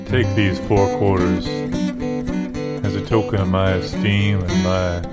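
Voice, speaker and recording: A man performs an earnest, lovelorn coffeehouse poet for improvised audio comedy. He has a lowish male voice and draws his lines out very slowly. Loud guitar plays right over him. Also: little pitch movement. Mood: lovelorn, crooning. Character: laid-back male coffeehouse poet and crooning lovelorn balladeer. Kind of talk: improvised audio comedy with half-sung verse.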